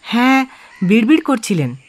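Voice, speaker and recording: Young female carer, higher-pitched, careful, factual, dutiful, radio drama. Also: big pitch swings, moderate pace. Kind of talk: audio drama acting.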